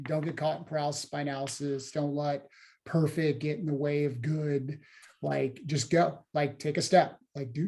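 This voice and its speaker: A man's voice: mid-range voice, mellow. A man who co-owns a business, giving earnest advice as a podcast guest. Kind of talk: casual chat.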